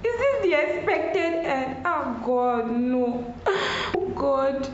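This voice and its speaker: A woman's voice: high-pitched, drawn-out words. A woman with a Nigerian accent acting an anguished, devout young student addressing God in a radio drama. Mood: tearful, aggrieved. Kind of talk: emotional radio drama monologue. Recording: muffled, roomy, faint background noise.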